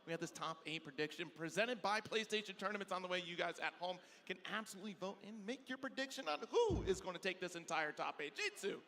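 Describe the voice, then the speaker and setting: A man's voice: sits high, animated, fast, few pauses, a bit bright. A man hosting live esports commentary in an enthusiastic, effusive way. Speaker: esports commentator and desk host. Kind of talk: live broadcast commentary banter. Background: faint music.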